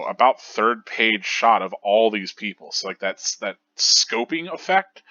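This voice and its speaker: A man's voice: low voice, sharp tone, lo-fi sound. A man hosting a manga reread show, giving casual analytical commentary in an enthusiastic, rambling way with lots of fillers and restarts.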